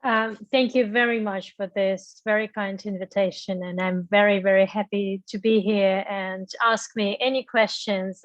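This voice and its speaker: A middle-aged woman answering as a guest in an online interview, speaking conversationally with halting, searching phrasing and repeated words. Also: medium pitch, no echo. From grew up in embassies, mostly in the Middle East. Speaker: guest, venture capital partner. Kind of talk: conversational interview answers, personal career story. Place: online video meetup. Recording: dull, lo-fi online call audio.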